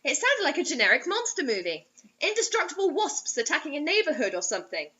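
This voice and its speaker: Female co-host for a comic story reading: lively, high, mock-dramatic and sarcastic, on slightly dull home audio. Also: fast talker. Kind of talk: comedic dramatic reading with banter.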